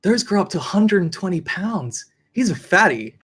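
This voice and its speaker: A woman plays an office receptionist who loves dogs. She speaks in a gushing, expressive way, as read aloud from a film script. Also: mid-range voice, moderate pace, slightly rough. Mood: chatty.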